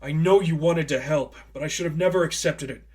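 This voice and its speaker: A man voices an anguished, kindly priest in a dramatic story reading. He speaks with earnest, protective emphasis, his pitch lifted and his delivery pushed, as an uncle who cares deeply. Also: fairly quick, a little mellow, natural voice. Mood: regretful.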